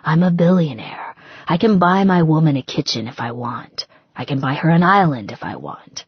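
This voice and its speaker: A woman voicing a tender, protective, intense wealthy groom in a romance audiobook, in a hushed, intimate murmur. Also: deep voice, lively intonation, moderate pace, breathy edge, dry recording, highs cut off, heavily acted.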